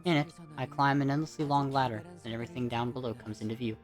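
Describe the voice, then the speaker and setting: Narrator acting calm, solemn philosophical schoolboy. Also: medium pitch, quick pace, soft background music, natural voice. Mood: solemn, dreamy. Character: Damien, calm, mature, philosophical solitary schoolboy.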